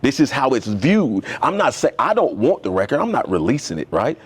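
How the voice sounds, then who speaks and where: A man's voice: medium pitch, big pitch swings, a little fast, husky. A young man, a tattoo artist, talking as a podcast guest in a loose, slangy, storytelling way. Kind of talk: casual conversation.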